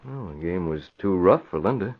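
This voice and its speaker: An actor playing a resolute masked crime-fighter and publisher, firm and commanding, in 1940s radio drama style. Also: male voice, sits low, lively intonation, moderate pace. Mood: measured authority. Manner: firm, commanding, clipped orders. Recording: muffled, hissy vintage radio broadcast.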